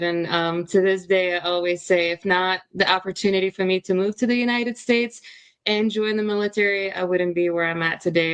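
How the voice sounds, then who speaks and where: A woman's voice: mid-range voice, clean tone. A woman from Lithuania, a military veteran guest, answering interview questions in an open, reflective way. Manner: open, reflective, steady storytelling.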